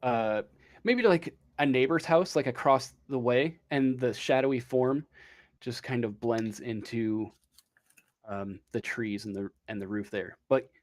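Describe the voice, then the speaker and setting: A man working as game master, improvising a tabletop role-playing story in a halting, drawn-out way. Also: medium pitch, darkish tone.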